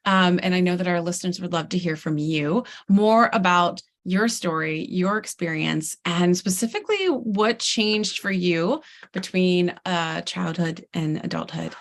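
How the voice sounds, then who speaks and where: A woman hosting an interview, her voice slightly raspy and strained as if starting to go. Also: on the low side, a little slow. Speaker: podcast host. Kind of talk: warm-up introduction and interview chat.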